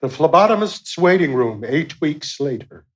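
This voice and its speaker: Guy narrating a radio play from script, voice a bit on the high side. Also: very animated, moderate pace, loud music behind the voice.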